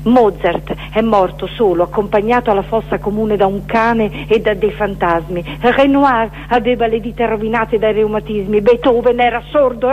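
A woman giving a dramatic recitation of a poetic manifesto, with emphatic, deliberate phrasing. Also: a little high, phone-quality sound, a little hiss or hum. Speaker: performer reciting poetry.

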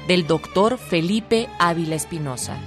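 A woman's voice: on the low side, expressive, measured pace. A woman with a Mexican accent reading scripted narration for a historical radio documentary. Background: music bed.

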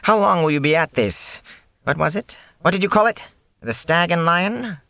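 A man voicing a ragged, wary peddler in an audiobook, whining and self-pitying in a hoarse rasp. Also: fairly high pitch, expressive, moderate pace, a bit bright, no room sound, pitched above the performer's own voice. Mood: guarded, nervous. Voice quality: raspy, hoarse. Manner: whining, jittery, aggrieved. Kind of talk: audiobook character voice.

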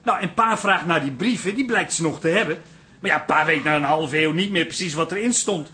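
A man playing a flamboyant, excitable artist brother in a radio drama, eager and emphatic. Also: a little high, brisk. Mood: explaining eagerly. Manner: eager, emphatic, repeats words. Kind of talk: Dutch radio drama acting.

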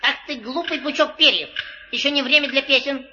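High-voiced plucky boy mongoose. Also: brisk, bright, forceful tone, slight background noise. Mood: impatient, commanding. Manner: boastful, impatient. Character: brave, bossy young male mongoose hero. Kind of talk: Soviet audio fairy-tale acting on record. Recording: dull old vinyl record sound.

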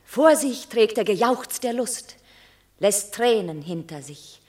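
A proud Roman matron, in classical radio drama style. She speaks in a middle register, slowly and grandly, declaiming her pride in her warrior son. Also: medium pitch, expressive, darkish tone. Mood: triumphant. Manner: stately, declamatory. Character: proud Roman matron, exultant mother of a war hero.

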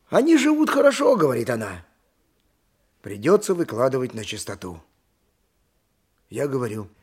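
Plaintive, husky poor donkey driver. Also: male voice, a little high, darkish tone. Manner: plaintive, simple-minded, resigned. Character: poor, downtrodden ancient Greek donkey driver, plaintive husband. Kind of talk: audio drama, dialogue mixed with first-person narration.

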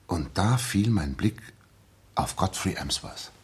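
A shaken ex-soldier in a sixties radio play. His husky, fairly low voice moves widely in pitch as he narrates earnestly and haltingly. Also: lively intonation, full character voice. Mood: earnest, suspenseful. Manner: earnest, hesitant, repeating words when agitated. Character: earnest, shaken young English ex-soldier telling his story. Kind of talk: 1960s German radio drama acting, dramatic narration.

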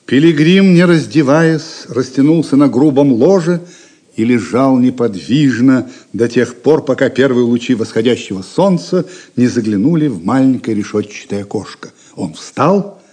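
A man's voice: medium pitch, dark tone, slightly rough. A man narrating a literary audio drama as a scripted storyteller.

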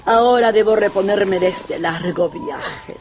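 A woman's voice: mid-range voice, unhurried, music in the background, close to an everyday voice. An actress playing a scornful, imperious old grandmother in a radio drama, bossy, mocking and theatrical. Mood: bossy, brisk. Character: imperious, scornful old Russian grandmother, rich and sharp-tongued.

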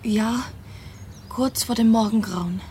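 An actress playing a frail, anxious young gentlewoman in a German radio drama, earnest and hesitant. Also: a little high, slow pace, slight background noise. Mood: weak, uneasy.

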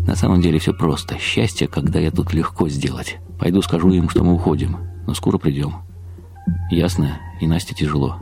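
A man with a low, dark voice narrates a literary radio play in the first person. He is expressive and confiding, with a wry edge. Faint music plays beneath him. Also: gravelly. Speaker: narrator and lead actor.

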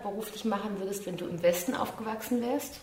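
A woman's voice: medium pitch, fast, darkish tone, roomy sound. A woman interviewing a man with polite, coaxing questions.

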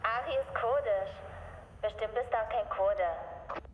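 A woman's voice: on the high side, a little slow, dull audio, lightly acted. An actress playing a cool, enigmatic woman on CB radio, terse and matter-of-fact, in a naturalistic radio drama. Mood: gently probing. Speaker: radio contact character. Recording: echoey long reverb, faint noise.

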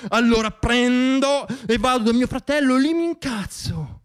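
A man's voice: very high voice, unhurried, smooth. A man performing a theatrical comic monologue as a storyteller, wry and self-deprecating, repeating words for effect.

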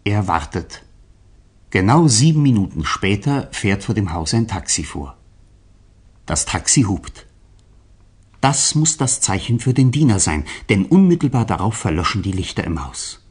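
Low, husky male radio drama narrator. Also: sing-song, unhurried.

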